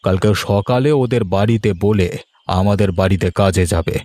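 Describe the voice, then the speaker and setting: A man's voice: lowish voice, moderate pace, mellow, dry recording, close to an everyday voice. A man playing an authoritative, reassuring village headman in a cartoon moral story, with a paternal manner. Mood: approving.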